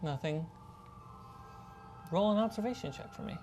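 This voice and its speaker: A woman's voice: mid-range voice, animated, moderate pace, crowd noise. A woman narrating a tabletop horror role-playing game as game master. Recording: music bed under the voice. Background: background music.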